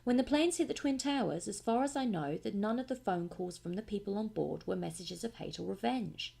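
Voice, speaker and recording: A woman giving a scripted audiobook-style narration in a measured, expressive storytelling manner. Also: mid-range voice, vocal fry, slightly rough. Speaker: voice actor narrating demo reel.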